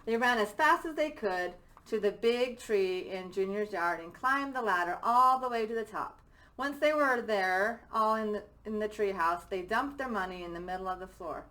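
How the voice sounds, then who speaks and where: A woman's voice: mid-range voice, normal speed, sharp tone, rough recording. A woman teacher reading a children's story aloud as a narrator.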